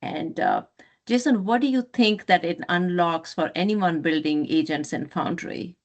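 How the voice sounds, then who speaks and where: A woman's voice: lowish voice, a little slow, lo-fi sound. A woman interviewing a guest in a conversational, encouraging and affirming way.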